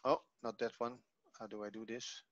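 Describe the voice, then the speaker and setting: A man giving an online language lesson, reading from a textbook and explaining it in a halting, explanatory way. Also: fairly low pitch, flat delivery, no echo, lo-fi sound. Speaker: Hebrew teacher. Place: online video call.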